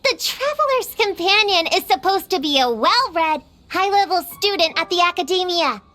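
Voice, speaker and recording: A woman's voice: extremely high pitch, lively intonation, moderate pace, sharp tone, soft background music, full character voice. A woman playing a proud, defensive young girl witch hunter in a video game, with a childlike voice and a self-important manner. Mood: puzzled, haughty. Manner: self-important, hesitant when bluffing.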